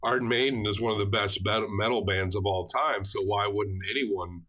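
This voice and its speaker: A man's voice: lowish voice, normal speed, fairly bright tone, rough recording. A man co-hosting a podcast, talking through a film in a casual, explanatory, conversational way. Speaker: podcast co-host.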